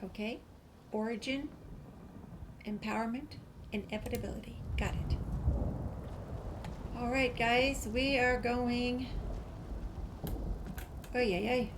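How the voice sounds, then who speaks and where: A woman gamer with a fairly high voice. She commentates her play in a casual, chatty way, as if talking to friends. Game ambience is clearly audible behind her. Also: steady tone, takes it slowly, pauses often, background noise. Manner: chatty, addresses viewers and characters. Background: video game ambience.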